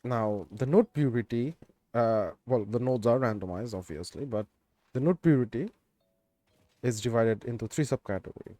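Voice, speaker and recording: A man streaming a game, chatting in a laid-back, rambling way with lots of fillers, his voice a bit raspy. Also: mid-range voice, normal speed, mellow, no echo. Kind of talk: casual game stream chat.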